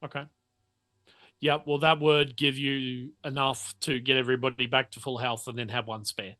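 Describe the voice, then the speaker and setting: A man running a tabletop roleplaying game as game master, narrating off the cuff and often halting to think mid-sentence. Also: mid-range voice, flat delivery, pushed and bright, no room sound.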